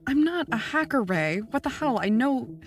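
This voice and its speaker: An actress playing a blunt, sardonic podcast producer in an audio drama, direct and prodding. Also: female voice, high voice, big pitch swings, normal speed. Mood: exasperated, defensive. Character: blunt, exasperated female podcast producer, loyal but prodding.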